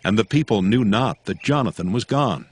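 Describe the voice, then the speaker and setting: A man narrating a dramatized Bible in a solemn, measured, scripted style. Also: on the low side, animated, no echo. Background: music.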